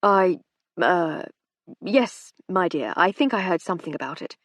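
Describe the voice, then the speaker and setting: A woman's voice: on the low side, lively intonation, unhurried, a bit bright, dry recording. A woman doing a stammering, henpecked husband. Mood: nervous. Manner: stammering, hesitant. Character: nervous, henpecked middle-aged businessman.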